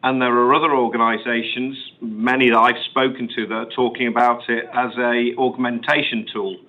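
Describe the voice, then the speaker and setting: Over a narrow phone-like connection, a man speaks at a medium pitch as a company executive. He explains things in measured corporate fashion, fielding questions on an earnings call. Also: little pitch movement, slight background noise. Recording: muffled phone-line conference call audio.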